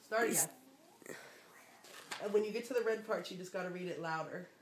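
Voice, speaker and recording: A woman's voice: on the low side, fairly quick. A woman talking casually and giving instructions, prompting a young reader line by line. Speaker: adult coaching a child reader. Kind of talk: casual family talk and prompting. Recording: echoey room, faint background noise.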